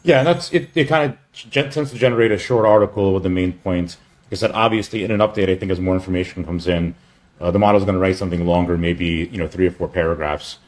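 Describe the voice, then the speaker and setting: A man giving a casual screen-share product update as a company chief, in a halting, rambling way with offhand asides. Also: sits low, darkish tone.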